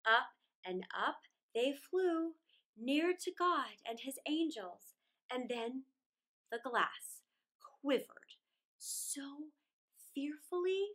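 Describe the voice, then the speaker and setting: A middle-aged woman reading a children's story aloud in a theatrical, deliberate way, drawing out words with dramatic pauses. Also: high voice, lively intonation, fairly bright tone. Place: small indoor room against a plain wall.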